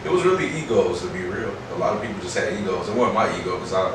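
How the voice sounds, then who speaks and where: A man's voice: low voice, lively intonation, rapid-fire. A man from Chicago with an American accent talking casually and candidly in slangy language as an interview guest. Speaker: interview guest, rapper and producer. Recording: very echoey, distant mic, noisy background.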